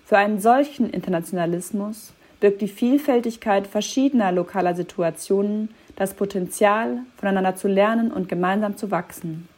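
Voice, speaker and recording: A woman reading scripted documentary narration in a measured, formal manner. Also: mid-range voice, a little mellow.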